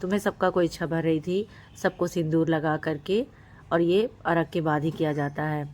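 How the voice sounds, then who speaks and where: A hoarse woman in her thirties from central India, narrating a vlog outdoors on a rooftop and explaining the customs with devotion. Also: sits low, moderate pace, a little mellow. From Madhya Pradesh, India. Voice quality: husky. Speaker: vlogger.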